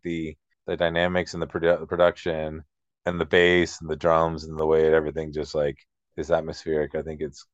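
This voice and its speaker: A man's voice: sits low, a bit bright, clean tone, dry recording. A man co-hosting a casual music discussion, searching loosely for his words, on a dry, dull recording. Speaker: music discussion podcast co-host. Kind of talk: casual album critique.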